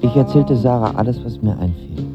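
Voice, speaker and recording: A man's voice: mid-range voice, lively intonation, fairly quick, highs cut off, loud music behind the voice, natural voice. An actor playing a lovestruck, insecure young man in an intimate radio drama, speaking in an earnest, confessional manner. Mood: wistful, confessional. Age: young adult. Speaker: lead actor and narrator.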